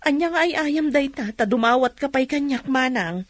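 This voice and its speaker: A woman's voice: sits high, moderate pace, dry recording, studio quality. An actress playing a weary, plain-spoken Ilocano village mother, firm but patient, in a radio drama. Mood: weary refusal.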